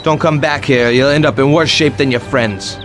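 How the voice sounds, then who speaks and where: An actor playing an arrogant, threatening rival gangster in a crime video game. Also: male voice, mid-range voice, normal speed, music in the background, natural voice. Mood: menacing.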